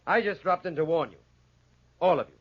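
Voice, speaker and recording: An actor playing a smirking, scheming official in a 1940s radio drama. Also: male voice, a little high, a little fast. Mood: falsely polite. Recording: muffled, dated 1940s radio recording.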